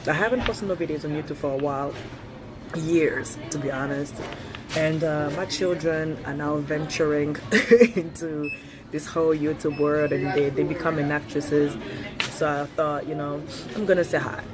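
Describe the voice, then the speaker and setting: A woman's voice: sits low, normal speed, crowd noise, rough recording. A woman in her thirties from Switzerland, whose first language is French, speaking with a Swiss French accent with a touch of British. She talks bluntly and chattily in a casual vlog, laughing often and swearing freely. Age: 30s. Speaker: vlogger.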